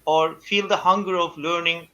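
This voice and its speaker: A man hosting a live practice stream, speaking haltingly with many fillers as he welcomes and questions a guest. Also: a little high, fairly even intonation, bright, forceful tone. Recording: dull lo-fi stream audio.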